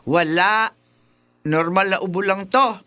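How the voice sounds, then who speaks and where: A woman playing a feisty, protective elderly mother and grandmother in a radio drama, expressive and motherly. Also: medium pitch, takes it slowly. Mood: proud, dismissive. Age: older.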